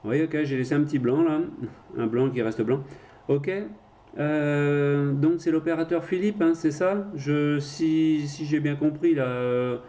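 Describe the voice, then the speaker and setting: A man's voice: a little high, fairly even intonation, measured pace, mellow. A man chatting casually as an amateur radio operator, handing over the microphone in turns. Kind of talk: casual radio contact chat.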